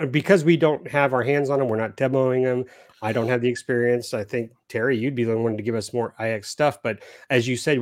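Man, podcast co-host, mid pitch, joking, reads notes aloud, dry close sound. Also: no room sound. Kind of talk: casual hobby podcast chat.

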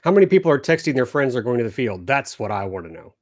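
Man, podcast co-host, mid pitch, joking, reads notes aloud, dry close sound. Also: big pitch swings, fast talker, a little mellow, no room sound. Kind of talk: casual hobby podcast chat.

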